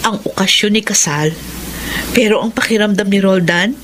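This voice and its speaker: A woman's voice: medium pitch, moderate pace, close to an everyday voice. An actress with a Filipino accent playing a chatty young woman, a teasing and eager friend, in a radio drama. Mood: sympathetic. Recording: clear ambient noise behind.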